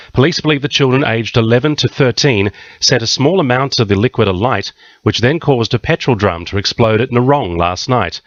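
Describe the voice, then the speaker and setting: A man's voice: on the low side, fairly bright tone, dry recording, dull audio. An Australian man reading a scripted radio news bulletin in a studio.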